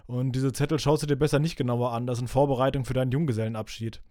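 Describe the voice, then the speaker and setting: A man's voice: medium pitch, fairly even intonation, rapid-fire, dark tone, no echo, clean studio sound, close to an everyday voice. A man voicing a wry, dutiful best man in a read-aloud story.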